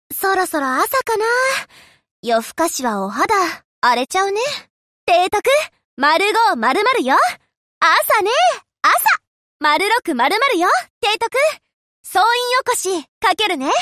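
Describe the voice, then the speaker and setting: A young anime warship girl for a game, devoted and cheerful. Her voice is extremely high and bright, with a perky, girlish delivery that swings widely in pitch. She speaks with sweet familiarity, like an earnest secretary. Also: lively intonation, slow pace, long pauses, no echo, clean studio sound, exaggerated voice. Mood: friendly, chatty. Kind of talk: Japanese game voice acting, short scripted lines.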